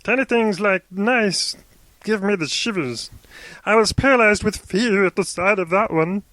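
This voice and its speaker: A man's voice: high voice, a little slow, a bit bright, no echo, pitched above the performer's own voice. A man voicing a large, timid man who was an elephant, fearful and fretful. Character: big timid elephant-man, scared of mice.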